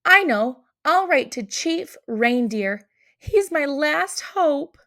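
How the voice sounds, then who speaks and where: Woman reading as worried Santa, creaky and urgent. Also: high voice, lively intonation, takes it slowly, a bit bright, voice pushed up, full character voice. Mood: worried, resolved. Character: worried, kindly old male Santa Claus. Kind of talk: children's storybook read-aloud.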